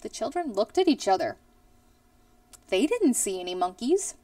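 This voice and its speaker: A woman in her thirties reading a children's story aloud expressively, in a deliberate storyteller's manner. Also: a little high, big pitch swings, warm tone, dry recording, distant mic. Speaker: teacher reading aloud to pupils. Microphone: headset boom mic.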